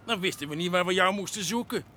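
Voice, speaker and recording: A man's voice: high-pitched, fast talker, background noise, natural voice. A man playing a strict, scolding fire station commander in a children's radio play. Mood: angry, scolding. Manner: stern, scolding. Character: angry, strict, rule-bound male fire station commander.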